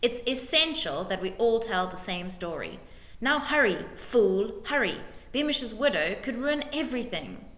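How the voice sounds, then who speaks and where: A woman's voice: fairly high pitch, big pitch swings, a little slow, fairly bright tone. A woman voicing a scheming, bullying male lord in a storybook reading, with snarled orders and oily false sympathy. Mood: snarling, impatient. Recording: echoey room with long reverb.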